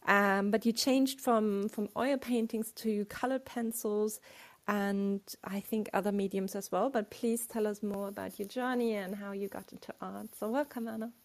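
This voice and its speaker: A woman's voice: mid-range voice, fairly even intonation, normal speed. A woman hosting an online artists' panel over a video call, full of effusive praise for her guests. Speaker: chat moderator.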